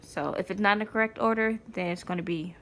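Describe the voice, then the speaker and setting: A woman's voice: mid-range voice, fast, fairly bright tone, slight background noise. A woman reading a children's picture book aloud in an informal way, with chatty asides to a small child. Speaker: mother reading aloud to a child, vlog host. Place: indoors, sitting on the floor.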